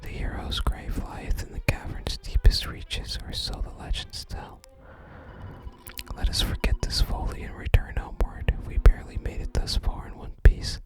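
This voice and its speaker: A person whispering in a soft, breathy, unhurried ASMR style while narrating a video game and reading its text aloud. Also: poor audio. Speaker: ASMR gaming video host.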